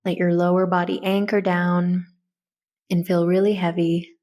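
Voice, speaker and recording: A woman leading a guided meditation in a soothing, gentle, instructional way. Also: on the low side, little pitch movement, measured pace.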